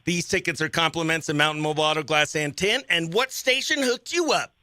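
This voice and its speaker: A man's voice: fairly high pitch, fairly quick, bright, forceful tone, no room sound. A man hosting a radio morning show, chatting casually and upbeat with informal slang as he runs a contest. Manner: informal slangy, exclaims dude and oh heck. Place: small radio studio, close dry mic.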